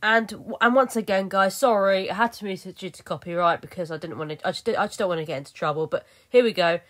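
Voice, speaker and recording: A woman's voice: mid-range voice, quick pace, a bit bright. A young woman narrating her vlog footage in a chatty, rambling way, full of fillers and restarts. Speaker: vlogger. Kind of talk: casual voice-over narration.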